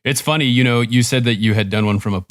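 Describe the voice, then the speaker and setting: A man hosting a podcast, asking a guest relaxed, friendly questions with many casual fillers. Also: mid-range voice, quick pace. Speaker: podcast host. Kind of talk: casual interview.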